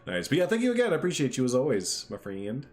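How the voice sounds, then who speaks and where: Give me a stuffed-up man casually hosting a stream, friendly and rambling, mid-pitched voice. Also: huge pitch swings, quick pace, faint music behind. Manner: friendly, rambling, reads out chat. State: stuffed up from a sinus cold. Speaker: livestream host. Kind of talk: casual livestream chat.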